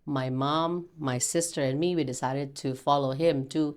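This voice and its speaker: A woman in her forties with an Indonesian accent and a fairly deep voice for a woman. English is her second language. She speaks conversationally as an interview guest, agreeable in her manner. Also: fairly even intonation, normal speed. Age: 40s.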